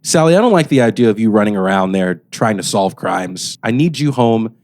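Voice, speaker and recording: Radio serial father, played by a man: stern, fretful, authoritative, with big swings in pitch. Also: lively intonation, a little fast, a little mellow, no room sound, studio quality. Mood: worried, firm. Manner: scolding, authoritative. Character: stern, worried 1950s small-town father. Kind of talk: old-time radio drama acting.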